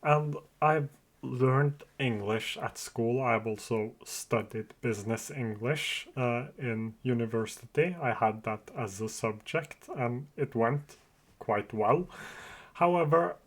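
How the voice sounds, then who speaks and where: A man's voice: medium pitch, takes it slowly. A man with a Norwegian accent who speaks Norwegian and Farsi natively, hosting his own podcast in an earnest, open and slightly hesitant monologue. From Norway. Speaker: podcast host. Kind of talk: casual solo podcast monologue.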